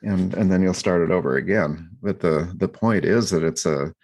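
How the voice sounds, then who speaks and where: A man co-hosting a podcast, talking through his thoughts in a reflective, halting way with many restarts and fillers. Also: sits low, darkish tone. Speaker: podcast co-host. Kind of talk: reflective casual discussion.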